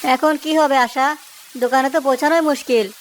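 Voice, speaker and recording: A woman's voice: high voice, fairly even intonation, moderate pace, a little hiss or hum, lightly acted, poor audio. A woman voicing an honest, hardworking married village man who sells samosas, earnest and emotional, in a moral-story cartoon. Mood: anxious. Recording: music behind the voice.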